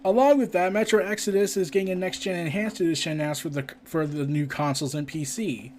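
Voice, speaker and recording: Male podcast host, high-pitched voice, casual news commentary with stumbly reading and chatty asides, some background noise. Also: fairly even intonation, quick pace, darkish tone.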